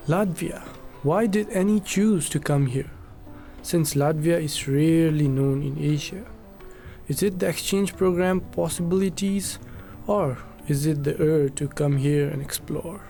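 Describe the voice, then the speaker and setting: A man reading scripted documentary narration in an earnest, measured way. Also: fairly high pitch, vocal fry, dark tone, soft background music.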